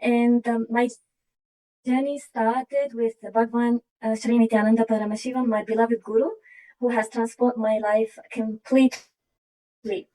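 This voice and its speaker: A woman from Iraq, earnestly sharing a personal testimony with halting restarts. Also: a little high, little pitch movement, dark tone, distant mic, rough recording. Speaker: program participant sharing her story.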